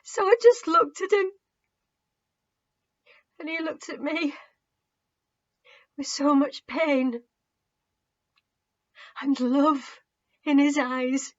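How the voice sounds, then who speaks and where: A woman voicing an anguished, guilt-ridden young man in an audiobook, halting and emotionally raw. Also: high-pitched, highs cut off, voice pushed up. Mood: anguished, breaking down. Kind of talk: audiobook character acting.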